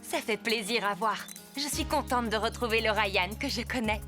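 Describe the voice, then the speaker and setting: A woman's voice: fairly high pitch, moderate pace, music in the background, natural voice. A woman playing a supportive young teammate. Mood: pleased.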